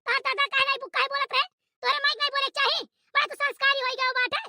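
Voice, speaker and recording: Cartoon role: a very high, bright, shrill old village mother-in-law, always scolding, as a woman puts her on. Dry, close sound. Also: level tone, rapid-fire, gravelly, no echo, heavily acted. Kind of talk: cartoon voice acting.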